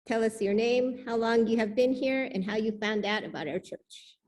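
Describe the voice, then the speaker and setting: A woman with a fairly high voice leads a church service. She reads prepared words and announcements to the congregation from a microphone at the front. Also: moderate pace, a little mellow. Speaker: worship leader welcoming the congregation. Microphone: pulpit microphone in a church service.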